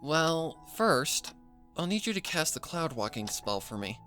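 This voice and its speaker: Narrator as a soft, sincere young female pony. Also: medium pitch, big pitch swings, measured pace, faint music behind, pitched above the performer's own voice. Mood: happy, chatty.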